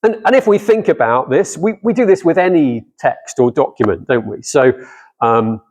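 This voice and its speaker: A man's voice: fairly high pitch, expressive, normal speed, darkish tone. A middle-aged man giving a guest talk on scripture to a church audience, speaking conversationally and putting questions to his listeners. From not from Cardiff.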